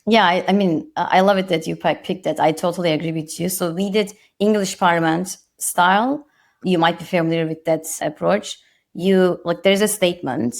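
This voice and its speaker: A woman's voice: on the low side, fairly even intonation, normal speed, creaky. A woman in her thirties from Turkey with a Turkish accent, a guest on a podcast interview, speaking reflectively and modestly with frequent restarts.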